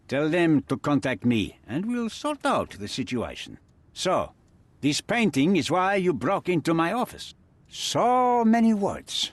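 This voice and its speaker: A man's voice: fairly high pitch, no echo. A man voicing a cold, threatening crime boss and smuggler in video game cutscene dialogue. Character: menacing male crime boss, smuggler and cutthroat.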